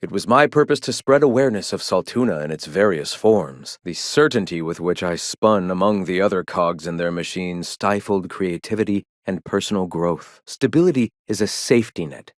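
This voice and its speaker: An actor playing a zealous, scholarly leader of a philosophical rebel movement in a video game, speaking in a lofty, formal, preachy way. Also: male voice, low-pitched, moderate pace, few pauses, no room sound, clean studio sound, natural voice. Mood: reflective, resolute. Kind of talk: video game character dialogue.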